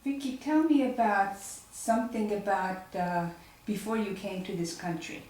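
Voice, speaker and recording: A woman conducting an oral history interview gently asks her questions. Her voice sounds distant and roomy, as if recorded from across the space. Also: mid-range voice, expressive, moderate pace. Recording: roomy sound, mic far off.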